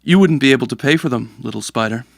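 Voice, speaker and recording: Man acting haughty, creaky-voiced sky god. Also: medium pitch, no echo, studio quality, natural voice. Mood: mocking. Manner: slow, condescending, theatrical. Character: haughty, amused sky god, mocking and grand. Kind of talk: storybook audio drama acting.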